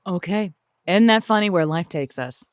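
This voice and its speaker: A woman playing a dryly amused advice-show host, chatting with callers in a hesitant, deadpan way on a comedy show. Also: lowish voice, normal speed, no room sound, clean studio sound, lightly acted. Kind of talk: improvised comedy call-in chat.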